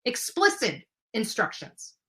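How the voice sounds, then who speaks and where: A woman's voice: a little high, wildly swooping pitch, takes it slowly, a bit bright. A woman delivering an impassioned, confrontational livestream rant in an indignant, emphatic manner. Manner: refers to herself in third person. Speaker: online live streamer.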